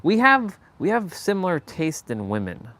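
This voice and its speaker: A man's voice: sits high, wildly swooping pitch, moderate pace, fairly bright tone, no echo. A Canadian man hosting a live stream and chatting casually, rambling and searching for words, with frequent laughs. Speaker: livestream host.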